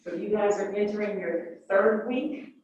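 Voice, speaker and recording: A woman's voice: mid-range voice, a little slow, a little mellow, a little hiss or hum. A woman in her fifties from Illinois, an academic advisor chatting casually and greeting students before a workshop. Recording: echoey room, distant dull mic.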